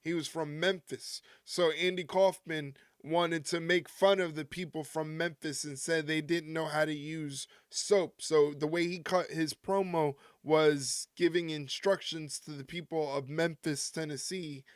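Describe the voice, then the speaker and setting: Man, stream host, casual rambling chat, joking, slightly high voice, dry close sound. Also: steady tone, unhurried, few pauses, clean tone, no echo. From United States. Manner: rambling, jokey, chuckles often, answers chat.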